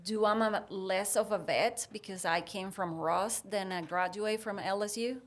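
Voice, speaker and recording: A woman's voice: mid-range voice, measured pace. Puerto rican lady vet w spanish accent, native spanish speaker, chatting on a podcast, acts out dialogue in her stories. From Puerto Rico. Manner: animated retelling with acted-out dialogue. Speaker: podcast guest, veterinarian. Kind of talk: conversational storytelling.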